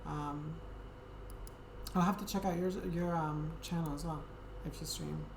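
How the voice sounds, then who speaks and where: A woman hosting a livestream, talking casually to her viewers in a soft, friendly way and often pausing on an um. Her voice sits low. It echoes around the room over a steady background noise. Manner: soft, friendly, hesitant with many ums. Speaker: Twitch streamer.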